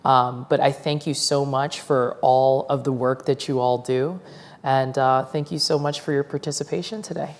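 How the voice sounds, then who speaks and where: A woman moderating a panel discussion, asking measured questions and affirming the speakers. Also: rumbling low, steady tone, in a large hall. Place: university forum hall.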